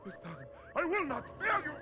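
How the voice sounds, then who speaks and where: A man playing a delirious, devoted guardian in an animated adventure, crying out in panic as if haunted by the past. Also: extremely high pitch, moderate pace, heavy background noise, full character voice. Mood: frantic. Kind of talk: animated adventure series acting.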